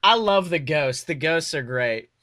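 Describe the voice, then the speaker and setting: A podcast co-host chatting casually in an animated, sweary way. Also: mid-range voice, a little slow, no room sound, clean studio sound.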